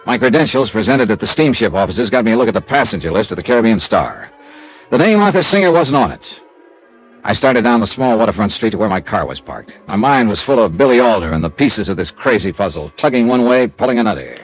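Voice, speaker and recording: A man's voice: fairly low pitch, expressive, fast talker, faint music behind, low-quality recording. An actor playing a wry, streetwise American male investigator narrating his case in a clipped, hard-boiled radio drama style. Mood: wry, intent. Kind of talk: classic radio detective drama, first-person narration.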